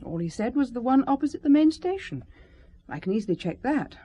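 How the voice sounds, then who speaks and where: An actress in a radio drama playing an anxious, protective, sharp-tongued secretary who is prim, frank and disapproving. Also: female voice, a little high, sing-song, brisk, breathy edge. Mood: prim, pointed.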